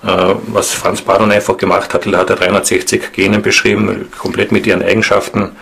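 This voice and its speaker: A man's voice: low-pitched, little pitch movement, brisk, vocal fry, a bit bright, a little hiss or hum. A man giving an informal, conversational account in an interview.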